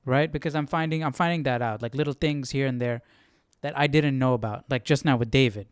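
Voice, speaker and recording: A man's voice: mid-range voice, brisk, smooth, no echo, studio quality. A man hosting a Bible study stream, musing aloud conversationally and casually checking for agreement. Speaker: Bible study livestream host. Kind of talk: casual reaction and commentary.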